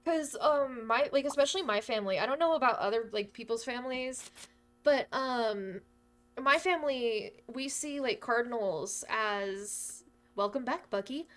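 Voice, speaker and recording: A woman's voice: fairly high pitch, moderate pace, a bit bright. A young woman streaming live while gaming, chatting in a rambling, giggly way, her voice a bit worn from losing it lately. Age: young adult. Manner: rambling and giggly, full of fillers. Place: her bedroom.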